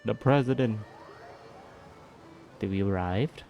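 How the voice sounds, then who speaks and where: A man giving casual playthrough reactions as a game streamer, talking back to the characters with soft interjections. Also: on the low side, takes it slowly, gravelly, faint music behind. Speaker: gameplay streamer.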